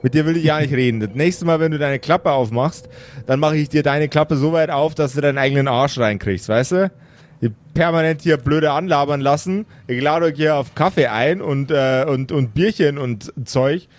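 A man's voice: on the high side, normal speed, lightly acted. A man voicing a grumpy, bossy undead necromancer in an improvised audio play, rambling and blustering in colloquial speech. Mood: irate, threatening. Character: grumpy, foul-mouthed undead necromancer lord, male, casually bossy.

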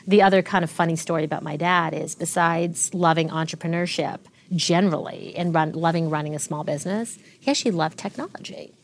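A woman in her forties, a tech executive being interviewed, telling stories fluently and with lively restarts. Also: low-pitched, brisk, few pauses. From Canada. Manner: fluent, animated storytelling with frequent restarts. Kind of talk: interview conversation.

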